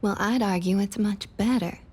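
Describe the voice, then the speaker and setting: A woman playing a smug, opportunistic vampire council member in an ASMR roleplay, with a soft breathy Southern US accent and coaxing, condescending sweet talk. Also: mid-range voice, moderate pace, close to an everyday voice. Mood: persuasive, condescending. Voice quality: soft, breathy, slightly husky. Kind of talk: ASMR roleplay, intimate scripted monologue.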